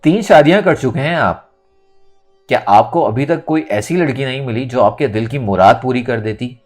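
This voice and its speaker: A man's voice: mid-range voice, moderate pace, a little mellow, close to an everyday voice. A man voicing an angry, defiant young woman in a dramatic audiobook, with emphatic, indignant delivery. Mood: insistent. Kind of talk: dramatic audio novel reading. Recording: music under the voice.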